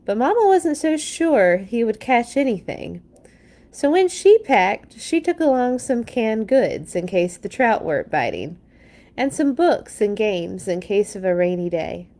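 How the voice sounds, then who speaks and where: A woman's voice: a little high, big pitch swings, unhurried, warm tone. A woman reading a picture book aloud to children as a storyteller, adding chatty asides of her own. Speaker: children's read-along storyteller.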